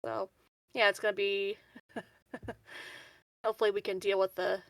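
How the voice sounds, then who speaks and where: A woman's voice: mid-range voice, flat delivery, unhurried, dry recording, clean studio sound. A woman chatting casually as a player in a tabletop roleplaying game.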